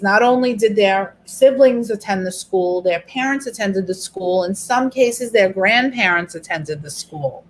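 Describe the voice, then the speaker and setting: A woman's voice: mid-range voice, rough recording. A middle-aged woman teacher, walking a group through an online workshop in a chatty, explanatory way. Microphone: video call.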